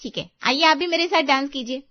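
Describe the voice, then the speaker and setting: A woman's voice: sits high, brisk, no echo, dull audio, natural voice. A woman voicing a teasing, chirpy young college-girl sister-in-law in story dialogue.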